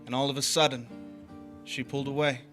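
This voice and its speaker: A man's voice: medium pitch, little pitch movement, brisk, fairly bright tone, faint music behind, natural voice. A man playing a cynical young drifter who narrates in terse, wry, hardboiled 1940s radio noir style. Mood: brooding.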